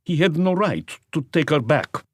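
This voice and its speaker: A man voicing a puny, obstinate immigrant man under police interrogation, halting and stubborn, in an audiobook. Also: on the high side, expressive, no room sound, clean studio sound, pitched above the performer's own voice.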